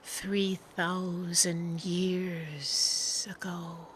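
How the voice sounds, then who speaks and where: A woman reciting poetry in a hushed, solemn manner, in spaced-out phrases. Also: fairly low pitch, flat delivery, drawn-out words, a little hiss or hum. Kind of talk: slow poetic recitation.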